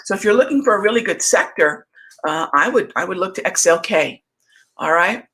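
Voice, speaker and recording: Bright-voiced woman hosting a trading show. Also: fairly low pitch, expressive, a little fast. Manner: conversational, reads viewer comments aloud, many fillers. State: losing her voice, throat clearing. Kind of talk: live market chat answering viewer questions.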